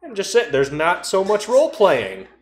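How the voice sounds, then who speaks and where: A man's voice: high-pitched, huge pitch swings, moderate pace. A man as a podcast guest, chatting casually in an easygoing, playful way. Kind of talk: casual chat. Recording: roomy echoing podcast recording.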